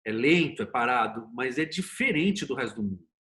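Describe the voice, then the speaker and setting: A man's voice: medium pitch, animated, brisk, a bit bright. A Brazilian man speaking as an interview guest in a conversational way, telling anecdotes and explaining with examples and rhetorical questions. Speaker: interview guest, series producer and screenwriter.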